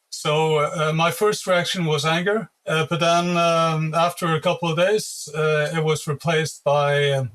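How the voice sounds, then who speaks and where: A Norwegian man in his late fifties, a native Norwegian speaker, talking in a measured, earnest podcast interview with many hesitant fillers. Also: fairly high pitch, a bit bright. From Norway. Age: 50s. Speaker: interview guest, patient advocate.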